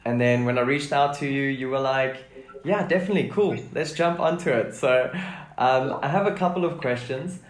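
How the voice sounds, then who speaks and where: A man's voice: medium pitch, moderate pace. A man hosting a podcast, asking eager, friendly questions with many fillers. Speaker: podcast host. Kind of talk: casual interview. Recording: echoey room.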